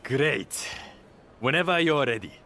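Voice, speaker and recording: A man's voice: mid-range voice, expressive, a little slow, sharp tone. A man playing an arrogant star wrestler in a video game cutscene, condescending, haughty and smug. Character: arrogant, condescending star wrestler who thinks himself above everyone.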